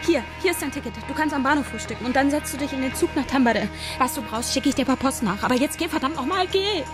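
A shy young scriptwriter. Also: female voice, high voice, lively intonation, quick pace, music in the background. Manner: inner-monologue narration, urgent in scenes. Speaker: narrator and protagonist. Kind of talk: radio drama acting.

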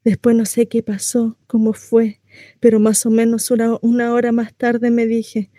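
A woman's voice: on the high side, completely flat delivery, normal speed, mellow, no echo, studio quality, lightly acted. A woman acting a naive, chatty housewife shut in at home, confiding in a neighbour in a rambling radio monologue. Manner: confiding, rambling, conversational storytelling with rhetorical questions.

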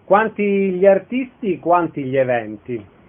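A man's voice: high voice, sing-song, a little slow, narrow, tinny audio. A man hosting a radio programme, announcing events and putting questions to guests. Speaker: radio host, interviewer.

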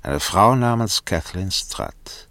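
An actor playing a worldly, self-assured defence barrister who talks in a dry, patronising, measured way in a German radio drama. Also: male voice, sits low, expressive, fairly bright tone, no echo, full character voice. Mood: reflective, intent.

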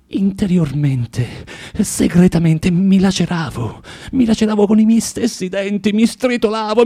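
An actor playing a bitter, self-loathing recluse in a radio drama monologue, theatrical and halting, feverishly repeating himself in a husky voice. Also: male voice, on the high side, mellow, dry recording, clean studio sound. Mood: tormented. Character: bitter, self-loathing, rambling male recluse from Saint Petersburg.

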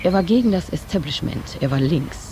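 A man's voice: fairly high pitch, lively intonation, brisk, close to an everyday voice. A man reading scripted narration in a satirical radio play. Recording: clear noise behind the voice.

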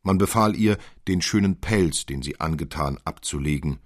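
A man narrating a literary tale in an expressive, deliberate, old-fashioned storytelling manner. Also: a bass voice, no room sound, clean studio sound. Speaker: audiobook narrator.

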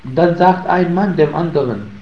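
A man's voice: on the high side, a little slow. Man teaching a religious lesson, roomy sound. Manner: emphatic, rising loud on key points. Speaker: Islamic teacher. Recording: roomy, muffled, faint ambience.

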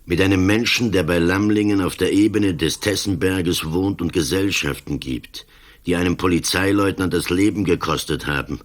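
An actor playing an old police commissioner in a radio play, cool, dry and sardonic in a deliberate way. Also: male voice, sits low, close to an everyday voice. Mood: sober, insistent. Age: older.

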